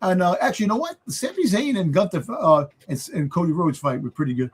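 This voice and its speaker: A man's voice: high-pitched, lively intonation, fast, breathy edge. A man on a casual wrestling fan panel, bantering and repeating his phrases for emphasis.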